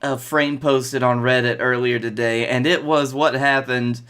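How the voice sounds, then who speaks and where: A man's voice: medium pitch, steady tone, measured pace, fairly bright tone, clean tone. A man in his twenties chatting casually as a podcast co-host. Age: 20s. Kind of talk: casual banter about wrestling. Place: bedroom, on a video call.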